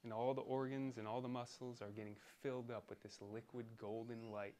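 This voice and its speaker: A man leading a guided meditation in a soothing, deliberate manner, pausing between short phrases. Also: medium pitch, level tone, darkish tone.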